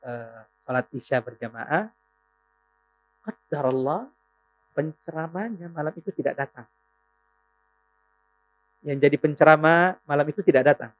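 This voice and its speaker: A man's voice: on the high side, lo-fi sound. A man from South Sulawesi with a Makassar accent, a guest Islamic preacher telling his story and giving earnest religious advice on a podcast. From South Sulawesi, Indonesia. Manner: earnest, unhurried storyteller who turns into forceful exhortation.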